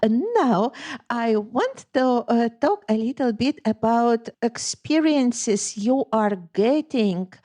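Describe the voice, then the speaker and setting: A woman with a Lithuanian accent hosting an interview, asking questions in a measured way with hesitant fillers. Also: on the high side, lively intonation, dark tone. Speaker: podcast host. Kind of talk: interviewing a guest.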